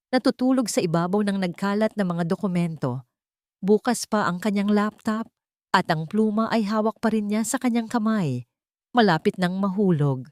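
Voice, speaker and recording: A Filipino woman tells a scripted romantic drama in a lowish voice. She speaks in deliberate short phrases with dramatic pauses. The sound is dry, with very little room. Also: a little mellow, no room sound. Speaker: storyteller.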